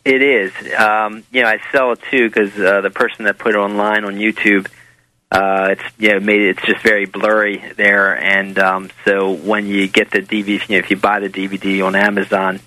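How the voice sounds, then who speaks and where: An American man being interviewed as an author, talking casually in halting, rambling explanations. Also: on the low side, flat delivery, pushed and bright, no echo, low-quality recording. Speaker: interview guest, author and documentary maker.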